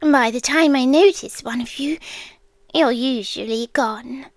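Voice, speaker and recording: A British woman voicing an ancient, lovestruck, obsessive end-of-the-world entity in a hushed, intimate ASMR roleplay, with deliberate pauses, close to the microphone. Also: high-pitched, no room sound. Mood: wistful, grave. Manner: slow, hushed, deliberate delivery with long pauses. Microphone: close, dry studio microphone.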